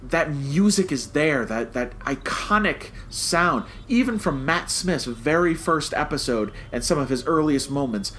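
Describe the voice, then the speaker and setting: A man's voice: a little high, animated, unhurried, few pauses, fairly bright tone. Man podcasting over background noise. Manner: emphatic, repeats phrases for stress. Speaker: podcast host. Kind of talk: casual podcast review.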